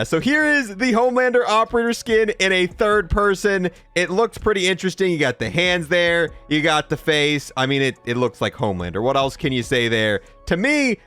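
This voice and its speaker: A man giving casual, joking video game review commentary in an animated way. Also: fairly high pitch, no echo.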